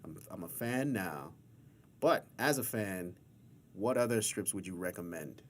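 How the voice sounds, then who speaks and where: Low-voiced man hosting over room noise. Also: animated, measured pace. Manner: chatty, jokey, often repeats phrases. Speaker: podcast host. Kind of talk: casual live podcast banter.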